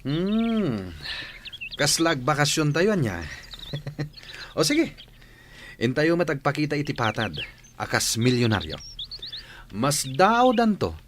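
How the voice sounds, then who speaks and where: A man's voice: on the high side, huge pitch swings, takes it slowly, long pauses, a bit bright, heavily acted. A man with an Ilocano accent playing an eager, scheming bank robber in a radio drama, on a noisy track. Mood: scheming, gleeful.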